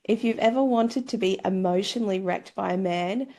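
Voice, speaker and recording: A woman's voice: mid-range voice, moderate pace, dark tone. A woman hosting a book club podcast in a cheeky, theatrical, gushing manner. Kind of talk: scripted, playful show introduction and chat.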